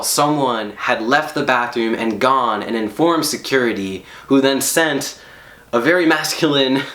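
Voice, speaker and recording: A man telling a personal story to an audience, animated and emphatic, laughing as he talks. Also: medium pitch. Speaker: storyteller on stage. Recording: roomy hall echo.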